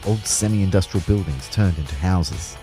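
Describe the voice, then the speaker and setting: A man's voice: low-pitched, normal speed, warm tone, faint music behind, close to an everyday voice. An Australian man playing an exasperated young ghost who narrates his own audio drama. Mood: wry narrating. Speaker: actor narrating and playing the lead. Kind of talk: first-person audio drama narration and dialogue.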